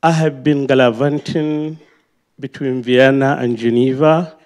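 A man's voice: mid-range voice, fairly even intonation, a little slow, darkish tone. A man with a Nigerian accent putting a question at a public panel event, speaking in an emphatic, speech-like manner. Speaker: audience member asking a question.

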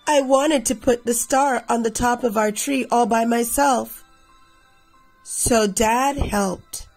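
A woman in her thirties reading a picture book aloud expressively for children, with a slightly husky, creaky voice. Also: on the high side, slow pace, a little mellow, faint music behind, rough recording. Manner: addresses children directly. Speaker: read-aloud storyteller for children.

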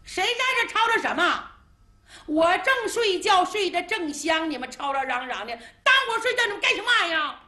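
A woman storyteller voicing a cocky little boy who brags and orders grown men around. His voice sits high and bright, swooping about as he talks fast and bossily. The room gives it a noticeable echo. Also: sing-song, exaggerated voice. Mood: grumpy. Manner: shrill, fast, boastful and bossy. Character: cheeky bossy little boy, self-appointed peacemaker. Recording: roomy echo.

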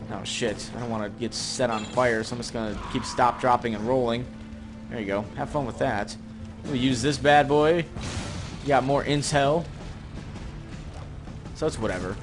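Young guy doing gameplay commentary, rambly grumbly sarcastic, game music behind. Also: mid-range voice. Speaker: gaming video host. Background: game music and effects.

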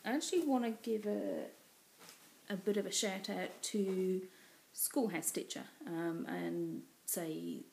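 A woman's voice: fairly low pitch, slow pace, vocal fry, a little mellow, a little hiss or hum, poor audio. An English woman in her thirties chatting casually on a home craft vlog, rambling with lots of ums. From England. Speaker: cross-stitch vlogger. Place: small room indoors at home. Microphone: built-in webcam mic at close range.

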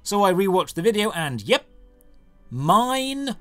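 Animated, high-pitched man narrating with sarcasm. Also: slow pace, faint music behind. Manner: sarcastic, mock-exasperated. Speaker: video game reviewer, host. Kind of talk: sarcastic scripted game review narration.

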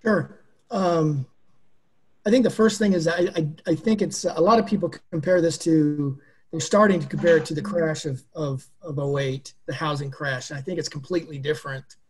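Husky middle-aged man speaking frankly. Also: fairly high pitch, moderate pace, a little mellow. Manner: frank, conversational, repeats words. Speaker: guest with legal and mortgage brokerage background. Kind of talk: panel discussion on a video call. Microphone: video call.

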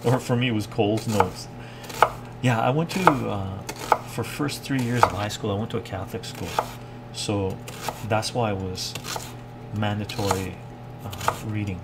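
A middle-aged man with a lowish voice hosts a casual cooking livestream. He muses in a halting way as he reads out comments and answers them. Loud kitchen noise, with chopping and clatter, runs behind his talk. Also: fairly even intonation. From Canada, born in Iran. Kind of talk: casual livestream chat while cooking, reading viewer comments. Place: home kitchen, indoors.

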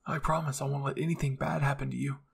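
A man's voice: medium pitch, flat delivery, fast talker, creaky, slightly rough. A man voicing an anguished, devoted young male cadet, earnest and urgent. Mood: guilty, tender.

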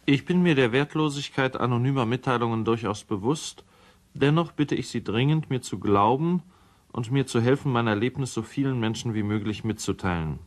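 Earnest, mid-pitched actor as male lawyer. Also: normal speed, natural voice. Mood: earnest, urgent. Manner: formal, precise, earnest. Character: earnest male lawyer writing an urgent anonymous letter. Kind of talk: radio play monologue.

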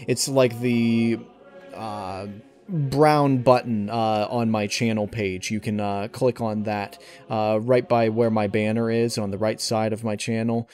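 A man's voice: lowish voice, level tone, a little slow, darkish tone. A man answering interview questions casually, in a rambling, hesitant and enthusiastic way. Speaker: channel host, amateur voice actor being interviewed. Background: music behind the voice.

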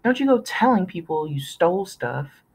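A woman's voice: fairly low pitch, big pitch swings, normal speed, breathy edge, pitched above the performer's own voice, exaggerated voice. A woman voicing a scrappy, bossy eight-year-old boy runaway with brash street slang.

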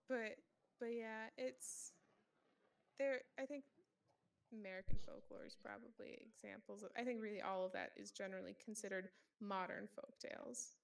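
A woman chatting casually on a podcast, often pausing to think aloud as she explains. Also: medium pitch, steady tone, creaky, recorded from a distance, a little hiss or hum. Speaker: podcast co-host. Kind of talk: casual podcast discussion of folktales. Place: remote online group call.

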